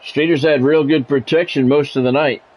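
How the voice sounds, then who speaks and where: A man's voice: a little high, steady tone, normal speed, a little mellow, poor audio. A man doing play-by-play commentary on a football video game in a conversational way, with wry asides. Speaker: play-by-play announcer.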